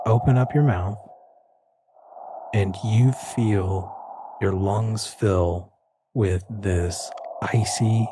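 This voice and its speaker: Low-voiced man narrating slowly over music. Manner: slow, suspenseful, deliberate phrasing. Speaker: game master. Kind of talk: dramatic narration of a tabletop horror game. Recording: music bed under the voice.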